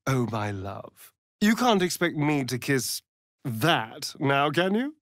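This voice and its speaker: An actor playing a sly, vain vampire rogue in a video game, with a silky, theatrical, mocking drawl. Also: male voice, mid-range voice, very animated, takes it slowly, no room sound, studio quality. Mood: teasing, disdainful. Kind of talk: video game character voice lines.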